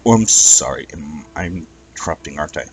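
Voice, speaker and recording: A man voicing a shy, gentle female pony in a story, speaking in a hesitant, apologetic, polite way. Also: very deep voice, lively intonation, a little fast. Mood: nervous, apologetic.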